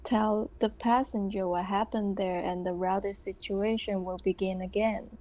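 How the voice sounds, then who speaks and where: A woman playing a tabletop roleplaying game, chatting casually and haltingly. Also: medium pitch, fairly even intonation, mellow, poor audio.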